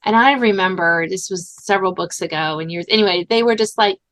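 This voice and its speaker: A woman hosting a podcast in casual conversation, animated and informal, often saying "like" and "you know". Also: mid-range voice, a little fast. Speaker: podcast host and writer.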